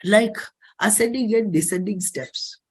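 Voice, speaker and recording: A middle-aged performer with an Indian accent, giving a dramatic autobiographical reading in measured, deliberate phrases. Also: mid-range voice, slightly rough. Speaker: singer and performer.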